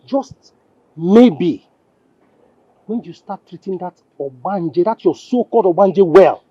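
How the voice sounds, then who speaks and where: High, husky, exasperated Nigerian husband. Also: male voice, expressive, slow, dark tone, no echo, dull audio, heavily acted. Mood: disappointed. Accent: Nigerian English. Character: exasperated husband, protective and sternly reproachful. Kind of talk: Nollywood film drama acting.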